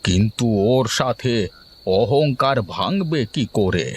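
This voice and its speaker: A man's voice: mid-range voice, animated, breathy edge. Man playing a worried old father. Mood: scolding. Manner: slow, scolding then yielding.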